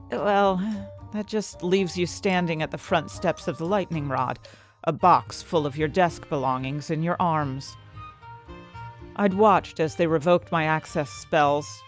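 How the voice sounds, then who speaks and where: A woman's voice: sits low, close to an everyday voice. A woman playing an ambitious, indignant fantasy inventor, narrating her own story expressively and articulately in an audio drama. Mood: wry, bitter. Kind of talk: first-person dramatic audio fiction narration. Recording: music bed behind the voice.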